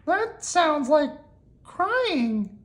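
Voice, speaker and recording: Storybook mouse, acted by an adult reader: squeaky, very high, timid yet cheeky, swooping delivery. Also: very animated, drawn-out words, exaggerated voice. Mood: nervous.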